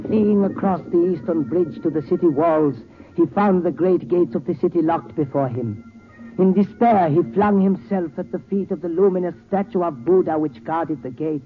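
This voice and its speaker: An actor in a 1940s radio drama playing a courteous Tibetan gem importer who tells tales in formal, measured, precise speech. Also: male voice, fairly high pitch, faint music behind, lightly acted. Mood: hushed storytelling. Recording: tinny 1940s radio recording.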